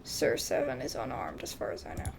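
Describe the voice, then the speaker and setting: A woman hosting a casual gaming video, reading game dialogue aloud haltingly and adding her own asides. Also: noisy background.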